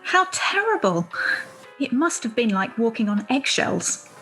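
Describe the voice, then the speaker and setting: A woman's voice: on the high side, sing-song, a little slow, fairly bright tone, heavily acted, poor audio. A woman playing a genteel, effusive, sympathetic young children's mother in a radio play, recorded over a video call. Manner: polite, effusive. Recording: amateur video-call recording with music behind.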